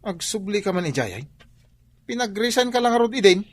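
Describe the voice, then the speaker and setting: A man's voice: high voice, very animated, brisk. A man with an Ilocano accent acting a traditional-minded, disapproving but resigned husband in a radio drama.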